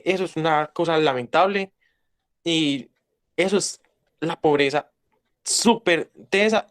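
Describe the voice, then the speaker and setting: A young Colombian man with a Paisa accent, speaking as an interview guest in an emphatic, blunt, lecturing way. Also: fairly high pitch. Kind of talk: casual interview talk.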